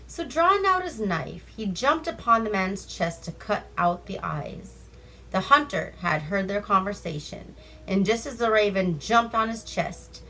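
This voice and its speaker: A woman's voice: mid-range voice, pushed and bright, rough recording. A woman from Alaska telling folk tales in a read-aloud style, with an irreverent, chatty manner. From Seward Peninsula, Alaska. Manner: irreverent, chatty, breaks off to comment. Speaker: storyteller reading bedtime stories. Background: music.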